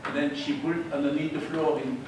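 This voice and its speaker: A man's voice: on the high side, level tone, normal speed. Older man with a Belgian accent, echoey room. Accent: Belgian Dutch-French. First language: French. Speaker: eyewitness speaker. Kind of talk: storytelling talk to an audience. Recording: distant mic, echoey room, audible noise.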